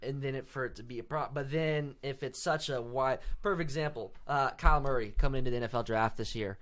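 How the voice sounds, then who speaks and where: A man's voice: medium pitch, fast, a bit bright. A man co-hosting a casual podcast, reading out betting odds and explaining them at length. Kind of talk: casual sports-betting chat.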